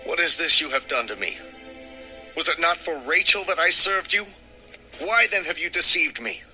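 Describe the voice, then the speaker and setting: A man's voice: a little high, normal speed, sharp tone, faint music behind. A man playing an earnest young shepherd and suitor in a dramatized scripture production. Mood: indignant.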